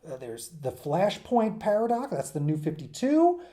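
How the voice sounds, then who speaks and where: A man's voice: high-pitched, big pitch swings, normal speed, dark tone. A man giving an informal, unscripted lecture as a teacher, talking conversationally and emphatically, often checking in with his listeners. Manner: conversational, checks in with "right?" and "okay?". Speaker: teacher presenting a comics history lesson.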